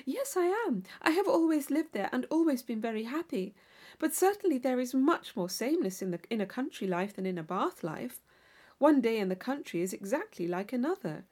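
Woman reading as an eager, artless young gentlewoman, high-pitched. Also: big pitch swings, a little fast. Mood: frank, earnest. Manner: artless, earnest, enthusiastic. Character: naive, eager young country gentlewoman, cheerful and earnest. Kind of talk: classic novel audiobook character.

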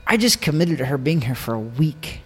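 A man's voice: a little high, sing-song, brisk, husky. An American man telling a personal story in casual conversation, repeating phrases for emphasis. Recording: long echoing reverb.

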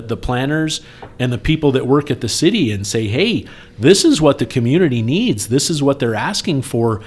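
Male podcast speaker, mid pitch. Earnest, persuasive, conversational appeal with emphatic repetition. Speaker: speaker appealing for campaign support. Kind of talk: casual podcast talk.

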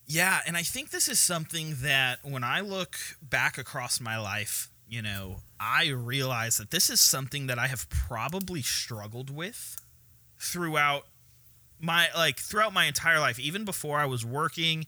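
A man's voice: a little high, animated, a little slow, sharp tone, dry recording. A young man, a student pastor, co-hosting a casual podcast chat, joking and laughing often.

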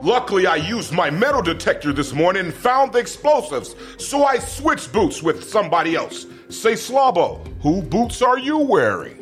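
Boastful, scheming action-parody villain, played by a man; high voice, very animated, melodramatic declaiming. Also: normal speed, few pauses, soft background music. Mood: smug. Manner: melodramatic, declaiming, explains his schemes at length. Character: boastful, scheming male action-movie villain, Paul Marine. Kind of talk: amateur comedic dramatic script reading.

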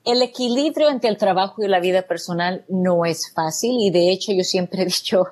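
Lady on an interview, lowish voice, earnest n thankful. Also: moderate pace, darkish tone. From El Salvador. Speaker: guest, real estate business owner. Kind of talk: interview answers.

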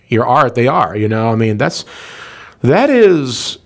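A man with a medium-pitched voice talks like a radio host in relaxed conversation. He is effusive and emphatic, dropping in "you know" as he goes. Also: unhurried, vocal fry. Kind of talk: casual, conversational interview talk.

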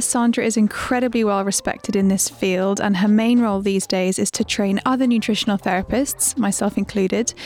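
Upbeat young woman hosting a podcast. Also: mid-range voice. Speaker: podcast host. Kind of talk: scripted intro then casual interview.

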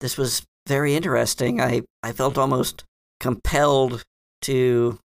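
Tabletop RPG character: bookish, proper female librarian played by a man, musing and hesitant, mid-pitched with gravelly fry, close dry sound. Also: fairly even intonation, no room sound. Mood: hesitant, preoccupied. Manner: halting, formal, professorial phrasing.

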